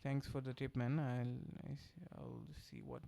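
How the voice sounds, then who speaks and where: A man's voice: mid-range voice, a little slow, creaky. A young man from Bangladesh with a Bangladeshi accent, a native Bengali speaker, chatting casually and thinking aloud while he codes on a live stream. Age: young adult. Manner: relaxed, thinking aloud, reading chat and answering. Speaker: streamer and developer.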